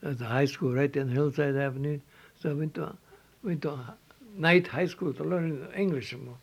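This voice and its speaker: An older man from Switzerland with a Swiss German accent, telling his life story in halting English with repeated words and restarts. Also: on the high side, gravelly, breathy edge. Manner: halting, repeats words, non-native phrasing. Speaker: interviewee.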